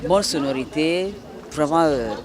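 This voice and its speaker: A man's voice: on the high side, expressive, unhurried, a crowd in the background. A man at a concert enthusiastically praising the show in a street interview. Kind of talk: street vox pop.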